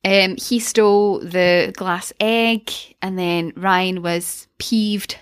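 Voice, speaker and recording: A woman's voice: mid-range voice, steady tone, drawn-out words, smooth. A woman co-hosting a casual TV rewatch podcast, chatty and playful as she recaps episodes. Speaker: podcast co-host.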